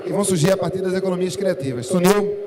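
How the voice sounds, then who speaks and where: A man with a Pernambuco accent hosts a debate, joking around and dropping regional slang. His voice is high for a man and rings out in a large reverberant hall over a clear murmur of the audience. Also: quick pace, noisy background. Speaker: debate moderator. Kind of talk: casual event moderation.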